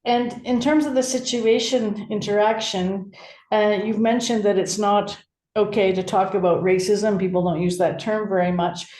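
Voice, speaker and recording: A woman hosting an interview, asking gentle, probing questions attentively. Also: mid-range voice, fairly even intonation, normal speed. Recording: echoey room sound.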